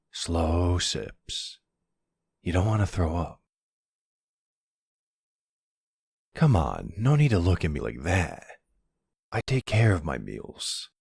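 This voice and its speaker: A man's voice: deep voice, vocal fry, darkish tone, husky, dry recording, heavily acted. A man playing a flirty, sardonic male vampire in an ASMR roleplay drama, teasing his rival with smug, dry sarcasm. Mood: smug, caring.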